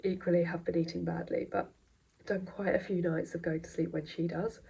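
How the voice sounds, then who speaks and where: A young woman with a blocked nose, chatting casually and rambling in a soft voice that often drops near a whisper. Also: fairly low pitch, level tone, fairly quick, vocal fry, breathy edge, low-quality recording. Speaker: vlogger.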